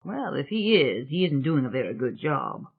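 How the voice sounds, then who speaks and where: Lady reader as a knowing gossipy gentleman, wry and unhurried, audiobook, bit muffled. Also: a bass voice, sing-song, creaky, husky, full character voice. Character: wry male society gossip, knowing and measured.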